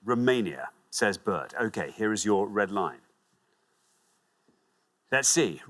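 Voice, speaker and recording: A man's voice: expressive, slow pace, fairly bright tone. A man hosting a TV quiz show, upbeat and encouraging, reading out questions and scores. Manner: upbeat, encouraging, big swings of pitch. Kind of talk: lively game show hosting. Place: television studio with audience.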